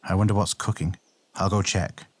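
A man voicing a brash, hot-tempered young male warrior in an audiobook, blunt, quick and excitable. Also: low-pitched, breathy edge. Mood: curious. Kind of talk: acted audiobook dialogue.

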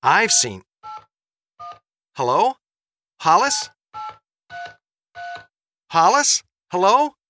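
A man voicing an excitable, chatty, flustered middle-aged mother in an audiobook, gushing and rambling through phone messages. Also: medium pitch, normal speed, a little hiss or hum, voice pushed up. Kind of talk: audiobook character voice.

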